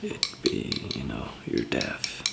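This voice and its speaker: A man doing a whispered ASMR roleplay as a calm apocalypse survivor, murmuring in a hesitant, halting way. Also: heavy background noise. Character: calm zombie apocalypse survivor handing out supplies.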